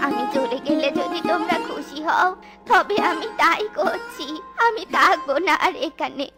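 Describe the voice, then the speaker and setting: A woman voicing a dramatic, shrill cartoon animal mother-in-law in exaggerated family dialogue. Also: animated, unhurried, loud music behind the voice. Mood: hurt, resigned.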